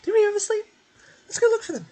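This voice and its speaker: A man voicing a small, excitable fairy companion from a video game, chirpy and speaking in the third person. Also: very high voice, fast, pitched above the performer's own voice. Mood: eager.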